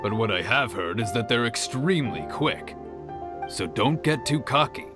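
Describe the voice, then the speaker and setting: A man's voice: medium pitch, normal speed, lightly acted. Earnest, level-headed young fighter for a game, voiced by a man; plain, sincere delivery. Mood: reflective. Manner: calm, plain-spoken, sincere. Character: earnest male tournament fighter, humble. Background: game music.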